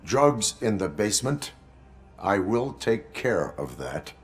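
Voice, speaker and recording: An actor playing a stern male cyborg police officer in a video game, speaking in clipped, monotone interrogations. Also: lowish voice, takes it slowly, exaggerated voice. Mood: cold. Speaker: cyborg cop protagonist.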